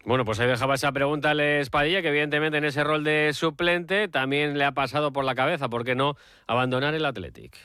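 A man's voice: fairly high pitch, fast talker, sharp tone, clear, resonant tone, no echo, studio quality. A man presenting sports news on radio and introducing interview clips.

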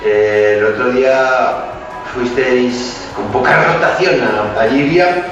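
A man's voice: medium pitch, slow pace. A man asking questions at a press conference as a sports reporter, rambling and repeating words. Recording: distant, dull, echoey hall with music.

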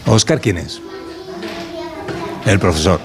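A man interviewing children in a rural school classroom, chatty and playful, with many quick questions. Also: low voice, expressive, over music. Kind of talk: casual chat with children.

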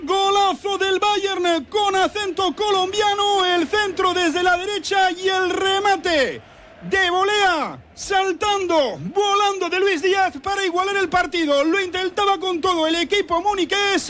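A man from shouting excited, drawn-out football goal commentary. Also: very high-pitched, slight background noise.

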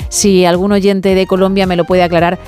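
A woman's voice: on the low side, little pitch movement, fairly quick, a little mellow, soft background music. A middle-aged woman with a Spain Spanish accent, hosting a casual late-night radio phone-in in a chatty, playful way. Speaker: radio host. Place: radio studio. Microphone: studio microphone.

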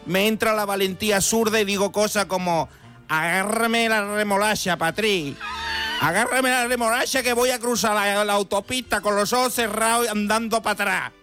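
Comic radio role: tipsy, combative French deer played by a man, high-pitched, indignant, theatrical, music underneath. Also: moderate pace, pushed and bright. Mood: belligerent. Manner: theatrical, indignant, boastful storytelling. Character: boozy, belligerent French deer pleading his case in a letter.

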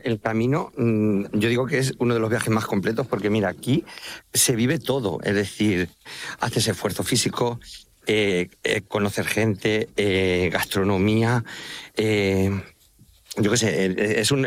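A man from central Spain with a Manchegan accent talks casually as an interview guest. His voice sits a bit low, and he speaks with enthusiasm in halting, repeated phrases. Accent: Manchegan Spanish. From Castilla-La Mancha, Spain. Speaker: interview guest, walking pilgrim guide. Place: outdoors, walking along a trail by an estuary.

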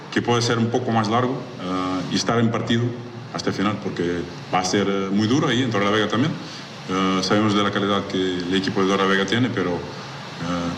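Echoey recording of a low-voiced Portuguese sportsman. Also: a bit bright. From Lisbon, Portugal. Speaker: athlete, team player. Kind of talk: unscripted interview answers in a second language. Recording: echoey reverberant hall, clear background noise.

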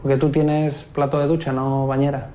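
A man's voice: medium pitch, level tone, moderate pace, mellow, slight background noise, close to an everyday voice. A man with a Spanish accent from Spain playing a casual, teasing comedian in naturalistic comedy dialogue. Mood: amused, needling. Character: casual teasing male comedian, apologetic yet needling.

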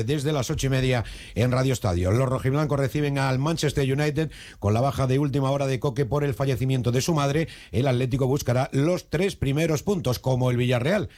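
A man reading the sports news as presenter, in a quick, lively manner. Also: mid-range voice.

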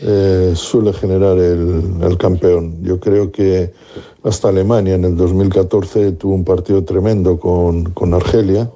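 A man's voice: low voice, level tone, measured pace, dark tone. A man giving football analysis on sports radio, thinking aloud with frequent restarts. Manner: musing, many restarts and fillers. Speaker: football pundit.

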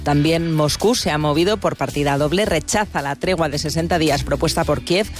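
A man anchoring a radio news bulletin, reading in a measured, formal way. His voice sits a little high for a man, and faint music plays under him.

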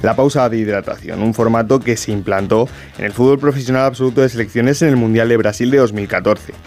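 A man voicing a scripted news report in a brisk, emphatic way. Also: medium pitch, a little mellow, faint music behind.

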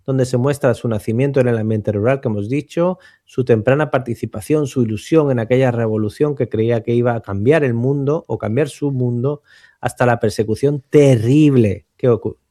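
A man's voice: mid-range voice, moderate pace, mellow, no echo, studio quality. A man from explains literature conversationally, searching for words and often checking for agreement. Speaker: literary commentator.

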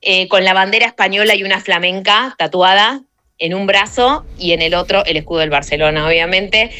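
A woman's voice: mid-range voice, fairly quick, pushed and bright. Effusive Argentine woman calling in. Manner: effusive, emotional. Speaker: radio listener calling in. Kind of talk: heartfelt personal talk on a live radio call.

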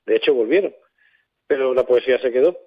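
A man, speaking reflectively as an interview guest, halting as he searches for words. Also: mid-range voice, phone-quality sound. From southern Spain. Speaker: poet and songwriter guest.

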